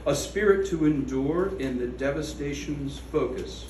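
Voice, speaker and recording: A man reading his own poem aloud at a gallery event, slow and measured, with falling line endings. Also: on the high side, a little mellow, slight background noise. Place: art gallery room. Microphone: away from the microphone. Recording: echoey room from a distance.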